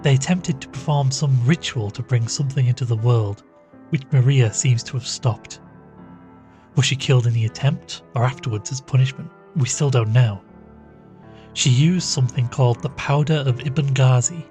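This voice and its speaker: Male actor as young investigator, mid-pitched, earnest, measured, inquisitive, audio drama journal, clear music underneath. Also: a little mellow, lightly acted. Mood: grave, pondering. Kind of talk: audio drama narration and dialogue.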